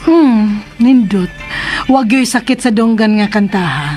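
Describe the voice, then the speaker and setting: An actress playing a doting middle-aged mother in a radio drama, chatty and gently chiding. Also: medium pitch, a little slow, faint music behind, close to an everyday voice. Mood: fond, chiding.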